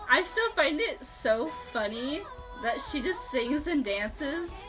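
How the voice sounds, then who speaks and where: A woman streaming a video game with casual, excitable, gushing and sweary live commentary. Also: sits high, very slow, low-quality recording. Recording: music behind the voice. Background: video game music.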